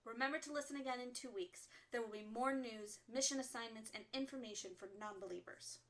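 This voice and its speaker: A woman acting in an audio drama as a fiery, defensive leader of an underground resistance radio broadcast, speaking with forceful emphasis. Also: fairly high pitch, a little fast. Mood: subdued, solemn.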